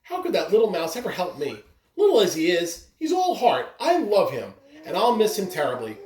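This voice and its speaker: A reader voicing a big-hearted, friendly whale in a children's storybook, with earnest, expressive delivery. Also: mid-range voice, moderate pace, recorded from a distance, crowd noise. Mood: amused, affectionate. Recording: roomy echo, clear background noise.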